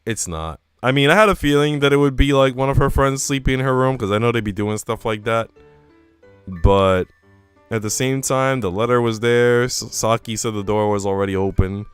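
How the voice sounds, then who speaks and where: A man's voice: mid-range voice, moderate pace, smooth. A man live-streaming a visual novel, reading it aloud and chatting casually in slangy asides. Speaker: game streamer. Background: music.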